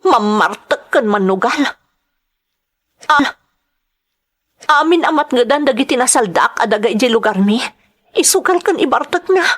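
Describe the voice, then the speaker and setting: An actress plays a distressed Ilocano wife and mother in a radio drama. Her voice sits fairly high and is earnest and emotional, that of someone wronged by her husband. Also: lively intonation, moderate pace, long pauses, a bit bright. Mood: bitter, aggrieved. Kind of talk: radio drama acting and first-person narration.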